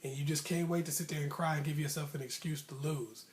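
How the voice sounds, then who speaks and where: A man's voice: on the high side, fairly even intonation, quick pace. A man telling a personal story in a casual, motivational way, with slangy, emphatic phrasing and repetition for emphasis. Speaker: vlogger, storyteller.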